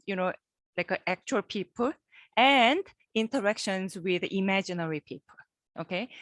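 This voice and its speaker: A woman professor giving a guest lecture over a video call, halting and repeating words, often checking in with her listeners. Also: mid-range voice, fairly bright tone, dry recording. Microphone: computer microphone on a video call.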